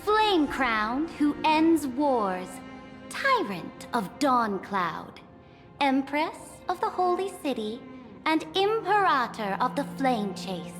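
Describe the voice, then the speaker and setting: A woman's voice: high voice, exaggerated voice. A woman playing an imperious, conquering empress in a video game, haughty and commanding in a grand, formal, declamatory way. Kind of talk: dramatic video game voice acting. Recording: long hall reverb, music behind.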